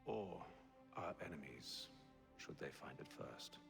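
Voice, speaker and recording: A man playing a composed, dryly curt gentleman agent in a period video game drama, speaking formally and with reserve. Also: rumbling low, lively intonation, slow pace, gravelly, over music, full character voice. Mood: composed, measured. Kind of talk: video game cutscene acting.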